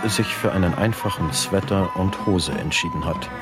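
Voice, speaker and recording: A man's voice: sits low, wildly swooping pitch, normal speed. A man playing a formal reporter, narrating in a scripted radio play. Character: formal male reporter describing elegant passengers. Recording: loud music behind the voice. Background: loud music.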